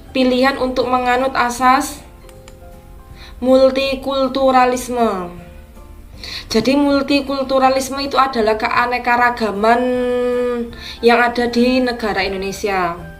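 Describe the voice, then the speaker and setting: Teacher lady walking thru quiz answers, slightly high voice, soft music behind her. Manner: explains each answer slowly and deliberately, with a clarifying "ya". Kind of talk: going over exam questions.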